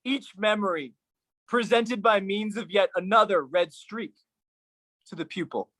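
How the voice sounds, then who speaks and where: A man's voice: high-pitched, a little slow, sharp tone, no room sound, low-quality recording. Man performing dramatic spoken-word monologue, dry sound.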